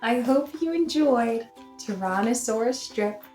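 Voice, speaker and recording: A woman reads a picture book aloud for children in a roomy, echoing space. Her voice is high and swoops expressively through each sentence. She tells it like theatre, with great animation. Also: expressive, unhurried, dark tone, faint music behind. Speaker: children's story reader. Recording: roomy echo.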